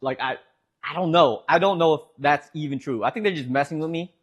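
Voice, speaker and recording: A man's voice: fairly high pitch, brisk, slightly rough. A man with a Midwestern American accent streaming from home, rambling casually to his chat and laughing often.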